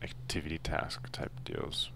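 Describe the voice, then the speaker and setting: A man's voice: measured pace, fairly bright tone, slight background noise. A man giving a casual, unscripted video game walkthrough in a loose, hesitant, laid-back way. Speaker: video game guide narrator.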